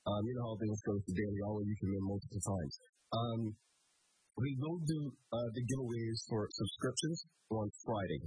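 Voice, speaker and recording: A man's voice: on the low side, dark tone, slightly rough, rough recording. A man hosting a morning talk show, stuffed up from a cold, chatting loosely with many fillers.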